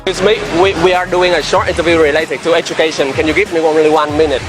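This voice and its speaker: A man with a Vietnamese accent interviewing people casually in halting, simple English. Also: on the high side, steady tone, loud music behind the voice. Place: outdoors in a busy public area. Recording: noisy street with crowd and traffic.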